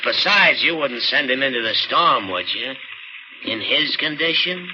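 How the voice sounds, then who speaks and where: An actor playing a gruff, sardonic tough American city cab driver in an old radio thriller. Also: male voice, medium pitch, animated, measured pace, background noise, close to an everyday voice. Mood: mocking menace. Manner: gruff, mocking, street-slangy. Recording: dull vintage radio recording with loud music.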